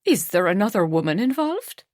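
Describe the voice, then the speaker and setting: A woman's voice: mid-range voice, big pitch swings, moderate pace, a little mellow, dry recording, studio quality, natural voice. A woman reading an audiobook, voicing an older grandmother who is alarmed and frankly outspoken. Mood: blunt. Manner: alarmed then blunt and frank.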